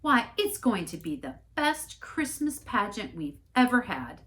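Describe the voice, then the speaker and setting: A woman voicing a brisk, insistent, exasperated mother running a church pageant in a storybook reading. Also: a little high, sing-song, fairly bright tone, pitched above the performer's own voice. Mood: defensive, firm.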